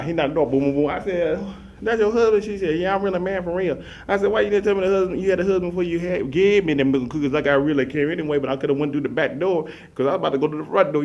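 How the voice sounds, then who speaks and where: A man's voice: mid-range voice, slight background noise. A man casually telling a long story, acting out voices and sounds. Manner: animated, acts out voices and sounds.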